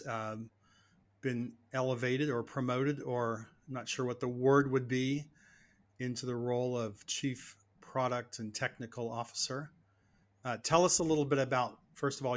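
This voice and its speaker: A man hosting a podcast interview, asking questions in a friendly, informal way. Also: medium pitch. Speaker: podcast host. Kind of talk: casual interview.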